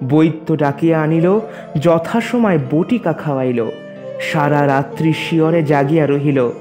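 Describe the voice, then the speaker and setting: A man narrating a literary story in a measured, expressive, formal reading. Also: a little high, soft background music.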